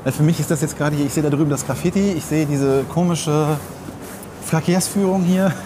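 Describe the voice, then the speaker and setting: A man's voice: a little high, fairly quick. A man talking casually as a podcast co-host while walking through a city, opinionated and wordy, often rephrasing himself. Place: outdoors on city streets, walking. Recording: clear outdoor city and traffic noise. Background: street ambience, vehicles.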